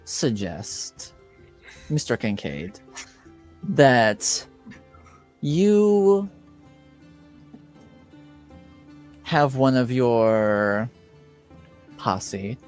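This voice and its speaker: Man acting a stern, slow-speaking university dean. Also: medium pitch, very animated, darkish tone, soft background music. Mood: commanding. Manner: measured, authoritative.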